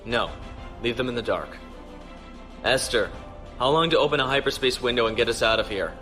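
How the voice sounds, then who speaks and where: A man's voice: mid-range voice, animated, a little fast, sharp tone, soft background music. A man acting a stern, decisive young starship captain in a sci-fi audio drama. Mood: grave urgency.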